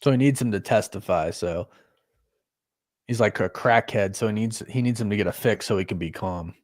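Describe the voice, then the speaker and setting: A man's voice: on the low side, normal speed. A man in his mid-thirties hosting a casual watch-party chat, talking loosely and laughing at his own jokes. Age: 30s. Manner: chatty, laughs often, jokes about himself. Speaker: host.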